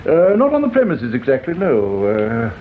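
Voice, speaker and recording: A man's voice: medium pitch, wildly swooping pitch, normal speed. An actor playing a folksy, hesitant English small-town newspaperman in a radio drama, colloquial and a little blustery. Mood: hesitant, helpful. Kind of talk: British radio drama acting. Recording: dull old radio recording, faint hiss.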